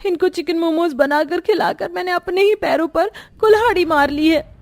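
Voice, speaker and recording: A woman's voice: extremely high pitch, moderate pace, pitched above the performer's own voice. A woman voicing a put-upon young Indian daughter-in-law in a cartoon, whiny, dramatic and exasperated, with melodramatic complaining. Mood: tearful self-pity. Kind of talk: Hindi cartoon voice acting.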